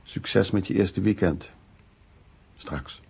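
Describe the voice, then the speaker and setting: Calm, very raspy, gravelly gentleman. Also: low voice, full character voice. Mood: calm, conciliatory. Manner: calm, terse, measured. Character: composed, dry, tolerant mature man, rival in love. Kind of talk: naturalistic radio play acting.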